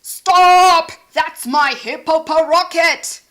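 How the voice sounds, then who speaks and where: A woman voicing a pompous, rude, rich male hippo astronaut in a children's storybook, with booming, haughty delivery. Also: slow pace, fairly bright tone, heavily acted. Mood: outraged.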